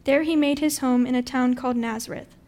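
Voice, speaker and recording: High, even-toned woman reading scripture. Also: fairly quick. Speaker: scripture reader.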